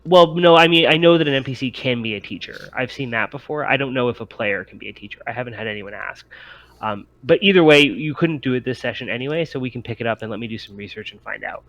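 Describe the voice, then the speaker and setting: A man with a middling voice acts as game master and talks at a quick clip. He explains tabletop rules casually, stammering and restarting his sentences as he thinks them through. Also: mid-range voice, a bit bright, dull audio. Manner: hesitant, stammering, restarts often.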